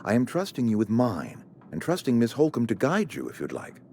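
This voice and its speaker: An actor playing a pompous, courteous company administrator in a video game, speaking in a formal, wordy, officious way. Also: male voice, mid-range voice, huge pitch swings, fairly quick, mellow, a little hiss or hum. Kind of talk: video game character acting.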